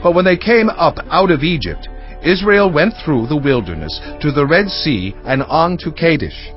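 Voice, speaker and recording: A man's voice: on the high side, big pitch swings, normal speed. A man playing a proud warrior commander in a dramatized audio Bible, assertive and emphatic in his rhetoric. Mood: firm argument. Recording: dull band-limited audio, faint music underneath.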